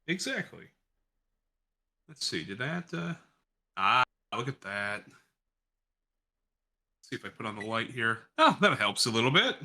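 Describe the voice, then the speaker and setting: A man's voice: medium pitch, very animated, measured pace, long pauses, sharp tone. A man who works in radio, cooking along in his home kitchen and chatting in a rambling, self-deprecating way. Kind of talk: casual chat while cooking. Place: home kitchen with gas stove.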